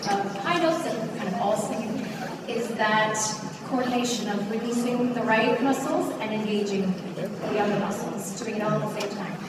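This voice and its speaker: A female opera singer reflecting on her craft on stage during an onstage discussion. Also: medium pitch. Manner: reflective, unhurried. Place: large opera house stage. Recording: echoey hall from far off, audience noise.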